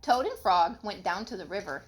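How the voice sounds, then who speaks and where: A woman reading a children's story aloud expressively and pausing to ask a child questions in a teacherly way. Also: medium pitch. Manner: expressive, instructive, acts out the dialogue.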